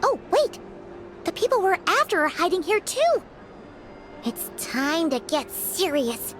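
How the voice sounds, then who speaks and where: Brief: tiny cute fairy sidekick, extremely high and squeaky, swooping expressively, over loud music. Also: female voice, measured pace, full character voice. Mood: amazed. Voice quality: squeaky, childlike. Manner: chirpy, exaggerated, expressive. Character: small excitable childlike female fairy companion, cute and bossy. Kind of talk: game character voice acting, third-person speech.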